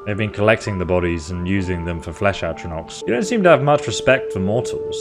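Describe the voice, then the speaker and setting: A man's voice: low-pitched, lively intonation, brisk, loud music behind the voice. A man giving casual, playful game playthrough commentary as a video host, chatting and reacting aloud as he goes.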